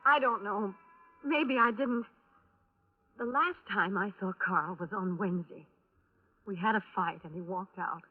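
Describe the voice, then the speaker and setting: An actress playing an uneasy young widow under questioning in a radio drama, defensive and halting as she answers. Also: mid-range voice, natural voice. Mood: anxious. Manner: halting, hesitant denials and admissions. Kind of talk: 1950s radio drama acting. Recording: tinny band-limited old radio recording.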